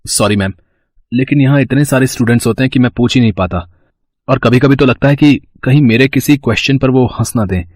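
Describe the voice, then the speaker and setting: A man's voice: medium pitch, fairly quick, a little mellow, no echo, lightly acted. A man voicing an infatuated 21-year-old college student who talks in a hesitant, pleading, fawning way, recorded dry.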